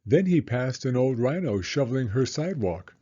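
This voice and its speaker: A man reading a storybook aloud to young children in a deliberate, expressive storytelling way. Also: mid-range voice, breathy edge, muffled recording.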